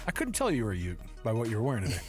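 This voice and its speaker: An American man from Seattle hosting sports radio, talking casually in an animated, rambling way. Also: on the low side, very fast, soft background music. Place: radio studio. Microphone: close studio microphone.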